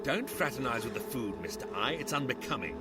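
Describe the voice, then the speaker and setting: A theatrical, genteel monster with a sneer and a big appetite played by a man. His pitch swings widely as he threatens, in audio drama fashion, with loud music underneath. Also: sing-song, a little fast, lightly acted. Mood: scornful. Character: hungry, menacing villainous nightmare man with long knives. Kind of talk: dramatic audio fiction acting.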